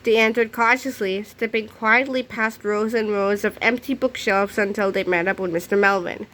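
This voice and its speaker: A woman reading a children's book aloud as an animated storyteller. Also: medium pitch, moderate pace, a little hiss or hum, low-quality recording.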